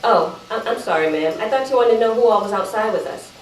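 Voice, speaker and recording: A woman's voice: fairly high pitch, animated, fast talker, close to an everyday voice. Woman as teenage sister making excuses to Mama, apologetic yet talkative, dramatic reading in a reverberant room with faint noise. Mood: chatty, gossipy. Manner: defensive, apologetic, chatty digressions. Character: evasive teenage sister pleading her case to Mama. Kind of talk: dramatic monologue read aloud at a live event. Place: live event room, recorded from a distance. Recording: roomy, distant microphone, faint background noise.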